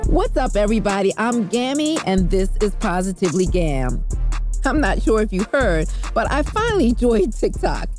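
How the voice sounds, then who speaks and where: A woman's voice: medium pitch, sing-song, normal speed, darkish tone, faint music behind. An older woman hosting a podcast, chatting casually and upbeat, joking about herself and laughing easily. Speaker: podcast host. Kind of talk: casual interview chat.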